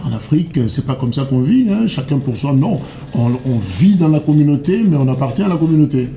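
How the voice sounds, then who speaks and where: A man's voice: a little high, animated, brisk, mellow. A man hosting a TV interview, asking probing questions with frequent fillers.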